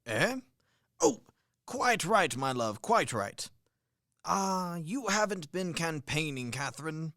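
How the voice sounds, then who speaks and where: A man's voice: fairly high pitch, big pitch swings, takes it slowly, bright, forceful tone, dry recording, studio quality, exaggerated voice. A man acting a blustering, genial old army major in a radio play, with a hearty, theatrical manner. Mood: genial, contented.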